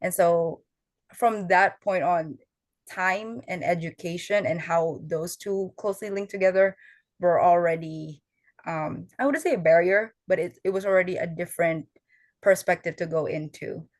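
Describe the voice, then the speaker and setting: A woman's voice: on the low side, moderate pace, dry recording. A young woman whose first language is Tagalog, earnestly explaining her oral history work in a conversational interview, with some fillers. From Filipino family.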